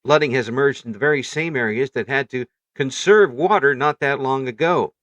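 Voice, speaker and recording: A man playing a radio network news anchor, reading a scripted newscast in a formal, measured announcer's delivery. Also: mid-range voice, a bit bright, no echo, lo-fi sound, lightly acted.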